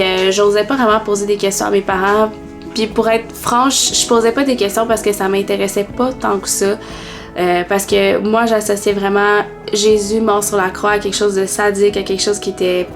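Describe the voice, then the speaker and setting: A woman in her twenties with a Québécois accent, telling her personal faith story in a vlog with many pauses and fillers. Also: medium pitch. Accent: Québécois French. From Quebec, Canada. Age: 20s.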